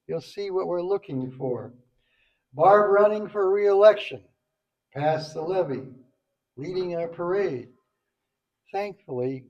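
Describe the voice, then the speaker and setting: A man reads a rhyming tribute aloud in a mellow, slightly high voice. His delivery is slow and halting, with the odd stumble. The event microphone gives the sound a muffled edge. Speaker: club member reading a tribute poem at a banquet. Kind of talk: reading a rhyming poem aloud. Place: banquet event, speaking to a group.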